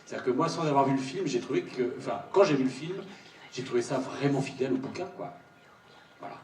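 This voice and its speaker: A man's voice: medium pitch, fast talker. Male panellist, colloquial and hesitant, roomy live sound with faint background noise. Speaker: voice actor on a panel. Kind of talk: casual spoken panel answer. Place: large cinema auditorium. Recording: echoey hall, distant mic, faint noise.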